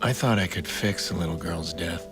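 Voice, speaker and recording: An actor playing a weary, vengeful male vigilante hacker, narrating gravely and deliberately in a video game. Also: deep voice, music in the background, natural voice. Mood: grim reflection. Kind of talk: scripted dramatic narration.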